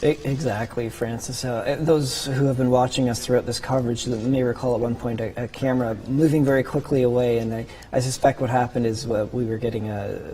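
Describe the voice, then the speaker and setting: A man anchoring live news coverage from a studio as host, commenting in a hesitant, halting way. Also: mid-range voice, fairly even intonation, warm tone. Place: broadcast studio. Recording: clear background noise.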